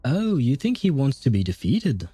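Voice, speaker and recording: Very mellow-voiced man livestreaming games. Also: mid-range voice, huge pitch swings, normal speed, breathy edge, no room sound. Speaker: game livestreamer. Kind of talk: casual live commentary, chatting with viewers.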